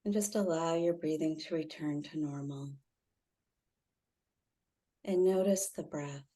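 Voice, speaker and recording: A woman guiding a meditation in a soothing, gently instructive way, leaving long pauses. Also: deep voice, steady tone. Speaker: meditation guide, intuitive healer. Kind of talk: guided meditation.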